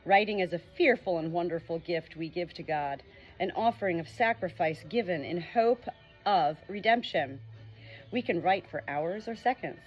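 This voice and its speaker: A woman reading a nonfiction book chapter aloud as an audiobook, with earnest, expressive emphasis. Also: fairly low pitch, moderate pace, slightly rough, faint music behind, rough recording. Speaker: audiobook reader.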